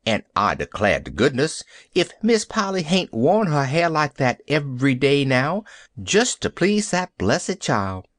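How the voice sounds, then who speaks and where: A man's voice: on the high side, a bit bright, no echo, studio quality. A man voicing a gossipy, chatty country housemaid with rustic dialect speech in a storybook reading.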